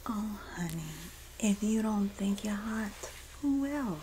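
A woman playing a sassy, supportive Jersey girl in an ASMR roleplay, speaking softly and often whispering in a breathy, doting, gossipy way with a New Jersey accent. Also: mid-range voice, expressive, a little hiss or hum, poor audio. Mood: gossipy, indignant. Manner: slow, gossipy, doting, dramatic emphasis.

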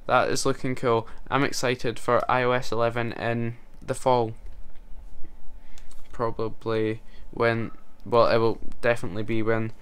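A young man talks loosely to camera in his bedroom, rambling a little and joking at his own expense. His voice is smooth and clear at a middle pitch, picked up close by the camera. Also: level tone, measured pace, fairly bright tone. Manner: chatty, rambling, self-mocking with small laughs. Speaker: vlogger. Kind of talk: casual talk to camera. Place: bedroom, indoors. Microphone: camera mic at close range.

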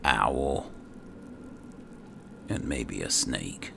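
A man's voice: rumbling low, lively intonation, drawn-out words. A man muttering to himself in casual commentary as he plays and roleplays a fantasy game. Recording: clear background noise, game ambience.

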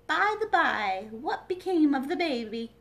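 Woman acting out mad storybook cat, casually illogical, emphatic, drawn-out words, slightly high pitch. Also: big pitch swings, gravelly, heavily acted. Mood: casual, curious.

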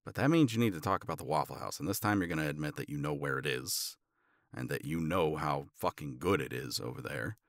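A man playing a tender, teasing older boyfriend in an intimate romance ASMR roleplay, murmuring in a halting, playful way. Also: rumbling low, expressive, vocal fry, no room sound, studio quality, full character voice. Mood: playful exasperation. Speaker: audio roleplay voice actor.

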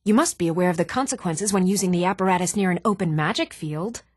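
An actress playing a scatterbrained, excitable woman mage in a fantasy video game, absent-minded and emphatic, questioning herself. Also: fairly low pitch, quick pace, slightly rough, no echo, clean studio sound. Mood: excited. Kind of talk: fantasy game character dialogue.

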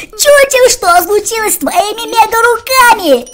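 A woman co-hosts a children's game video in a very high, childlike voice. Her delivery is animated and exclamatory, leaping widely in pitch over loud music. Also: slow. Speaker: let's play co-host. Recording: music behind the voice.

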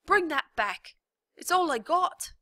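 A woman voicing a rough, desperate male prisoner who talks plainly and bursts into loud shouting. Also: mid-range voice, unhurried, no echo, clean studio sound.

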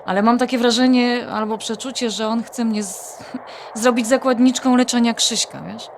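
A woman's voice: fairly high pitch, steady tone, moderate pace, slight background noise, lightly acted. An actress playing a worried, wry adult daughter confiding her troubles in a naturalistic audio drama. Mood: distressed, confiding.

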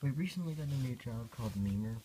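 A male host reading his own homemade comics in a casual story-time vlog, halting, informal and self-deprecating, recorded on a handheld phone. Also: mid-range voice, animated, dark tone, slight background noise, rough recording.

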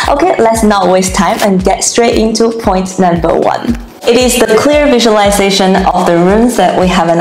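A woman in her thirties explaining her home renovation plan to the camera, talking steadily and filling her pauses with "um". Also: medium pitch. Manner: steady and explanatory, frequent "um" fillers. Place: bright home kitchen, indoors. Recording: echoey room with faint music behind.

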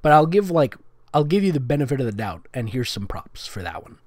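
A man's voice: mid-range voice, sing-song, a little fast, darkish tone, dry recording, studio quality. A man giving a comedic dramatic reading, with sarcastic, nitpicking asides as the host. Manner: sarcastic, nitpicking, breaking into giggles. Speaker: host reading fan fiction aloud.

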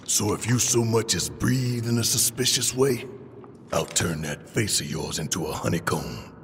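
A big, distrustful resistance fighter from a game, gruff and combative. The lowish voice is full of gravel and swings up and down with his temper, in a long reverb. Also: expressive, normal speed, a bit bright, a little hiss or hum. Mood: threatening. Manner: blunt, combative. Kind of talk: video game cutscene acting.

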